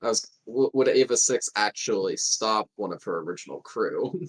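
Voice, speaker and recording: A man's voice: fairly low pitch, moderate pace, husky, low-quality recording. A man playing a tabletop roleplaying game, talking through options in casual conversation.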